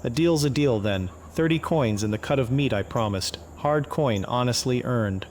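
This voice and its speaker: A man voicing a gruff, blunt old farmer with a sardonic streak in video game quest dialogue. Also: mid-range voice, normal speed, a little mellow, a little hiss or hum, lightly acted. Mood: wry. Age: older. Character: gruff old farmer beset by wolves, shrewd, grumbling. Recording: faint outdoor night ambience with crickets. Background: crickets and insects.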